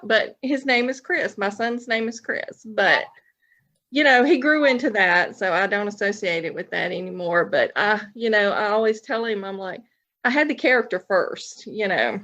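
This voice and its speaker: A woman's voice: a little high, a bit bright. A woman talking casually as a guest in an informal conversation, recorded in dull, lo-fi sound. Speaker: podcast guest, novelist. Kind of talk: casual chat. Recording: dull, lo-fi audio.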